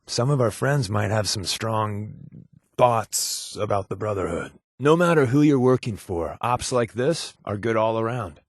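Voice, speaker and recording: A man's voice: lowish voice, expressive, a little slow, dry recording, clean studio sound. An actor playing a glib, wary but loyal secret-agent companion in a video game, sardonic and colloquial in his delivery. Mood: worried, serious. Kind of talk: scripted video game character dialogue.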